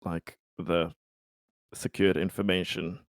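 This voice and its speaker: A man's voice: low-pitched, little pitch movement, takes it slowly, no room sound. A man playing a tabletop game, chatting casually with a hesitant, agreeable manner.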